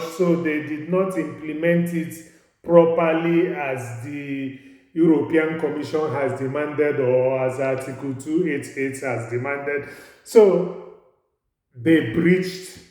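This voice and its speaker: A man with a Nigerian English accent giving a university law lecture, halting and repeating words as he explains. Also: a little high, darkish tone. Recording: very echoey room.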